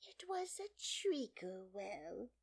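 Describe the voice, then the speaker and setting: A woman voicing a drowsy, sulky male dormouse in a storybook, with a hoarse, feeble whisper and drawn-out words. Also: a little high, big pitch swings, voice pushed up, exaggerated voice. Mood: sleepy. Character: sleepy, sulky male dormouse telling a slow tale.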